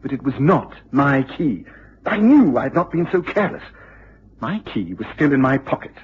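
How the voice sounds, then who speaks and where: An actor playing an agitated, excitable university lecturer with an English accent and a husky voice in a period radio drama, speaking formally and precisely. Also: male voice, mid-range voice, moderate pace. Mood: agitated, explaining. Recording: dull, lo-fi old radio recording.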